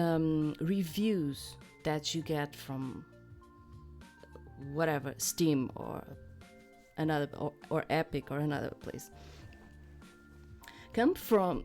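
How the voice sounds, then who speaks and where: Middle-aged female gaming host, low-pitched voice, unhurried rambling chat with hesitant asides, faint music underneath. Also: a little mellow. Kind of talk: casual rambling chat to viewers.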